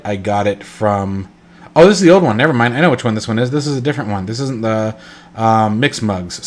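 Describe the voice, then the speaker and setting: Stuffy-nosed man rambling through a tutorial. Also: lowish voice. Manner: rambling, self-correcting, lots of fillers. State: congested, stuffed up. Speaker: flight sim channel host. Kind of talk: casual screen-recorded tutorial.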